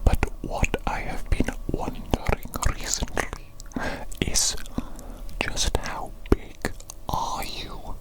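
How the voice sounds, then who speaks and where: A man's voice: lightly acted, poor audio. Man playing a whispering, fussily precise evil doctor. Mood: fussy, softly precise. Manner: slow, soothing, repeats words and phrases. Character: evil doctor, male creator of creatures, gentle and fussily precise. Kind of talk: whispered ASMR roleplay.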